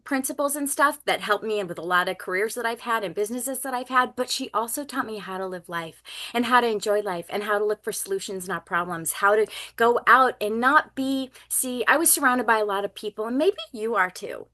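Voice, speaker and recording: A woman's voice: a little high, fairly quick, few pauses, pushed and bright. A woman in her fifties, born in Finland, hosting a video call and introducing a guest with emphatic, gushing enthusiasm. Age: 50s. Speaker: call host.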